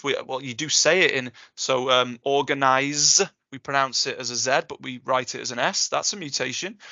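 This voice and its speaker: Brief: enthusiastic male tutor, bright forceful tone, dull lo-fi call audio. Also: mid-range voice, dry recording. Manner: enthusiastic, jokey, reassuring. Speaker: guest speaker, Welsh tutor. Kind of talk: casual Q&A chat.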